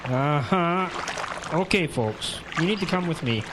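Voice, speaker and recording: A man's voice: a little high, expressive, slow. A man playing an official, no-nonsense border patrol officer in a radio play. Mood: stern. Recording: clear water noise behind the voice.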